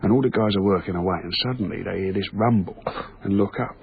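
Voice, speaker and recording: An actor playing a British railway tunnel night worker in a radio drama, talking in a matey, musing way. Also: male voice, low-pitched, quick pace, exaggerated voice. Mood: amused storytelling. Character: chatty, anecdote-loving British railway tunnel night worker, uneasily fascinated. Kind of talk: naturalistic radio drama acting. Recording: lo-fi phone-like radio recording, clearly noisy.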